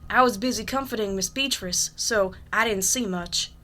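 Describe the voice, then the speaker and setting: A woman's voice: mid-range voice, moderate pace, pushed and bright, close to an everyday voice. A woman playing a curt, jaded household maid in a radio drama, clipped and sardonic. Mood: guarded, jaded.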